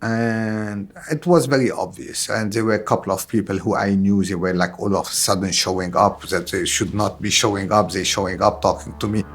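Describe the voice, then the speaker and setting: Low-voiced man with Lebanese accent. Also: fairly even intonation, moderate pace, hardly any pauses, slightly rough. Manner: non-native English with repeated phrases. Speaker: interviewee, IT specialist. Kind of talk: interview testimony.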